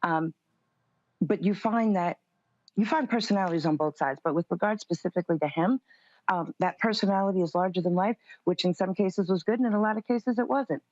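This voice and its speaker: A woman's voice: lowish voice, fairly quick, a little mellow, no echo. A woman speaking as a political interview guest, firm, assertive and guarded in her answers. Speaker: political guest, conservative commentator. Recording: slightly dull, dry lo-fi audio.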